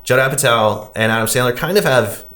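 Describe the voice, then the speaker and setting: A man's voice: mid-range voice, fairly quick, a bit bright. A man hosting a podcast, casually recapping a film in a wry, critical, conversational way.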